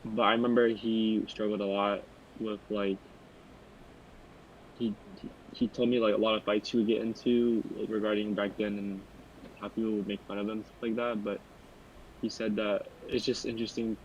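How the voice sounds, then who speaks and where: Flat-voiced young man rambling on a podcast. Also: lowish voice, lo-fi sound, slight background noise. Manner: rambling, many fillers and restarts, loses his thread. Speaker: podcast panelist. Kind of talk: casual podcast discussion.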